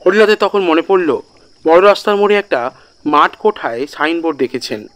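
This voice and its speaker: A man's voice: a little high, animated, a little fast, fairly bright tone. A man narrating a scripted literary audio story as the storyteller. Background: background music.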